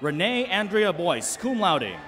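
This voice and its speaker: A man's voice: high-pitched, very animated, measured pace, fairly bright tone. A man announcing graduates' names one after another at an outdoor commencement. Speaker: commencement name reader. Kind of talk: reading graduates' names at a ceremony.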